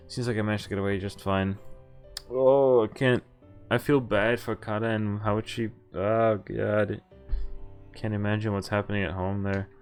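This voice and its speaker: A man streaming a game, reading its text aloud with animated, jokey reactions and casual commentary, sometimes stumbling over words and correcting himself. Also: on the low side. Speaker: game streamer doing a visual novel playthrough. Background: game music.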